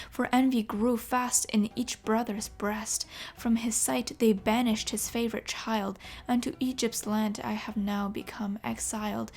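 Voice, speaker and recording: A woman's voice: a little high, creaky, a little mellow. A woman reading the lines of a sorrowful, dutiful young male prophet as solemn recited verse.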